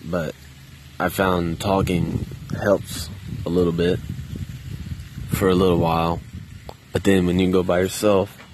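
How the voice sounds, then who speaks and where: Quiet low-voiced man talking outdoors. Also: level tone, unhurried, long pauses, background noise. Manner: quiet, subdued, hesitant with many restarts; loose and conversational with casual swearing. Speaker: vlogger. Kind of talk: casual solo monologue. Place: outdoors in a park.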